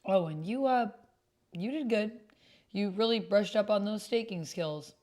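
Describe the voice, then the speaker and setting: A woman voicing a brooding, awkward male vampire with a dry, halting way of talking in a tabletop roleplay. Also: medium pitch, a little mellow, pitched above the performer's own voice, lightly acted. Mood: awkward praise.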